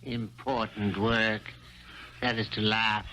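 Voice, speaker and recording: An actor playing a cynical but convivial office clerk in a 1970s radio drama, wry and goading. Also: male voice, on the low side, little pitch movement, slow, noisy background.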